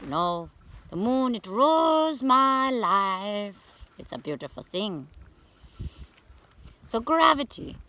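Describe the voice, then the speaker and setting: A woman voicing a gentle, excitable shell-dwelling sea creature who teaches young children, repeating phrases and coaxing them with questions. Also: mid-range voice. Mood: excited, sheepish. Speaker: host of a children's educational segment. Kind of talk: children's science talk.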